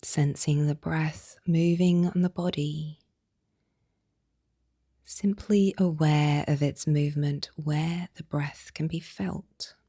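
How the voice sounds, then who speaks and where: A woman guiding a yoga nidra meditation, speaking softly and soothingly in a breathy voice with long pauses between phrases. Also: sits low, fairly even intonation, no room sound, clean studio sound. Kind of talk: guided meditation narration.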